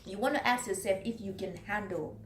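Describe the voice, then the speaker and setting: A woman's voice: mid-range voice, a little fast. Woman, vlogger, earnest, repeats herself, echoey room. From Cameroon. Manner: earnest, repeats points, reads from notes. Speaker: vlogger giving business tips. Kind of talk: casual talk to camera. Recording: roomy echo, faint background noise.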